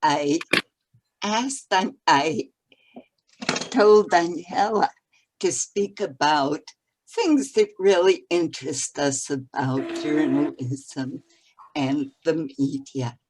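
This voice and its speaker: A woman's voice: low voice, slow pace, noisy background. A woman hosting a club meeting on a video call, introducing a guest speaker with light humor. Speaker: club host.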